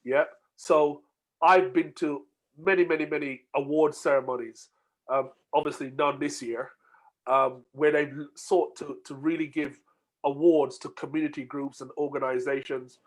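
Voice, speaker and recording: A man's voice: on the high side, fairly even intonation, moderate pace, poor audio. A man hosting an online group discussion over a video call, talking in a conversational, moderating way. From United Kingdom. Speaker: host.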